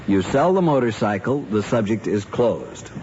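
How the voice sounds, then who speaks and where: A man's voice: lowish voice, lively intonation, normal speed, a little mellow, close to an everyday voice. An actor playing a stern, worried middle-aged American father in a radio drama, speaking firmly and earnestly. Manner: firm, earnest, emphatic. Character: stern, worried father, a banker, laying down the law. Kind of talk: mid-century American radio drama acting. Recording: muffled, noisy old radio recording.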